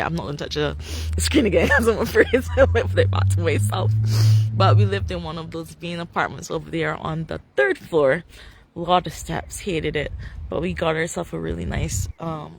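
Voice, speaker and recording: A young Barbadian woman talks casually into her phone on a live stream, full of ums and restarts, with a gravelly edge to her voice. She is outdoors on a street, and a faint hum of traffic sits behind her. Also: low-pitched. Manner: rambling, many fillers and restarts, laughs often. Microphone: phone camera.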